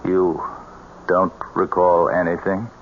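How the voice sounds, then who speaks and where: An actor playing an earnest, compassionate middle-aged American doctor in an old-time radio drama, speaking in a measured way with small hesitations. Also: male voice, deep voice, flat delivery. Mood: gently probing. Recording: muffled, noisy old radio drama recording.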